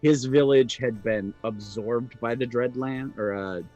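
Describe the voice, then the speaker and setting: A man's voice: medium pitch, unhurried, darkish tone, lo-fi sound, soft background music. A man co-hosting a podcast, chatting with enthusiasm and stumbling into restarts. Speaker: podcast co-host. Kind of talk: casual chat on a live stream.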